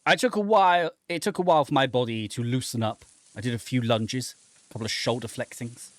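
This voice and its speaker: A man's voice: medium pitch, brisk, no echo. A man giving casual playthrough commentary in dry, muttered asides. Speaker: game streamer.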